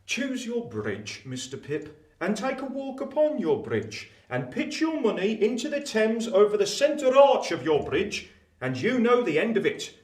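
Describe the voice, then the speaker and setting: A high, very animated Victorian clerk, precise and pedantic. He stresses each point deliberately in an echoey room. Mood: pedantic, cautioning. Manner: pedantic, emphatic, deliberate enumerating. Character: dry, precise male Victorian London clerk. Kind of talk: audio drama acting.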